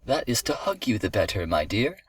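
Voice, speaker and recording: A man's voice: fairly low pitch, sing-song, brisk, breathy edge, no echo. A man voicing a wicked, wily great wolf in a storybook tale, speaking with sly, false politeness. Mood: menacing.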